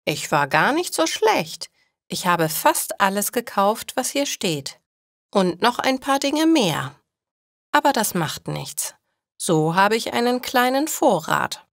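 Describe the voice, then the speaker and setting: A woman's voice: medium pitch, big pitch swings, slow, gravelly, no echo, clean studio sound, full character voice. A woman voicing a forgetful female shopper who muses to herself in a storybook for language learners. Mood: pleased. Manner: self-talking, musing.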